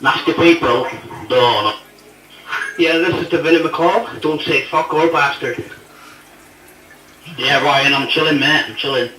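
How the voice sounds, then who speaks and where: A man's voice: on the high side, measured pace, pauses often, sharp tone. A British man in casual, blunt, sweary banter as a user of a chat app. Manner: blunt, foul-mouthed. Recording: echoey, distant playback with faint noise.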